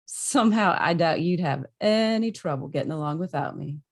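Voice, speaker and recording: Earnest, lively-voiced modest superhero read by a woman. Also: deep voice, moderate pace. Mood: self-doubting. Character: earnest, self-doubting young male superhero, modest and wistful. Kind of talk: amateur script table read.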